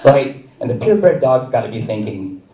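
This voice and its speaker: A man performing a stand-up comedy set, with a wry, deadpan delivery and chuckles between jokes. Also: medium pitch, moderate pace, distant mic, background noise. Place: comedy theater stage. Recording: roomy live stage mic from afar.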